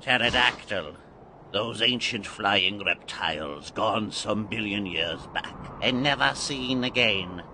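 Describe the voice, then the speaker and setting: A man's voice: fairly low pitch, animated, takes it slowly, background noise. An actor playing a theatrical, mysterious, commanding man in an audio drama, speaking in a grandiose, archaic way and relishing his words. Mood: grand, pleased. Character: theatrical, mysterious, commanding man leading boys on a Halloween adventure.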